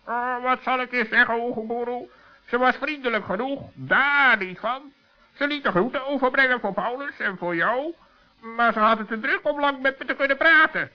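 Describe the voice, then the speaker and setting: A clever, rather smug raven in an extremely high voice. The delivery is dry and laconic, from a children's radio play with dull, lo-fi sound. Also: male voice, moderate pace. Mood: matter-of-fact. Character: shrewd, self-satisfied male raven, dry and matter-of-fact. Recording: dull lo-fi old radio recording.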